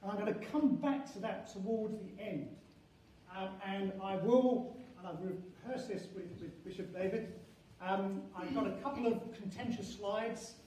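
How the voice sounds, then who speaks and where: An older man lectures at an academic conference in a high, soft-edged voice. He proceeds haltingly, restarting phrases and leaning on key terms. The sound is heavily reverberant and picked up from a distance. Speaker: university professor and head of geography school. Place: large echoing conference venue indoors. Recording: very echoey hall, distant mic, faint noise.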